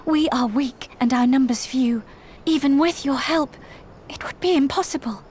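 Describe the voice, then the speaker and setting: A woman's voice: sits high, normal speed, darkish tone, a little hiss or hum, close to an everyday voice. An actress playing an earnest young tribeswoman who speaks in a pleading way. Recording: game soundtrack under the voice.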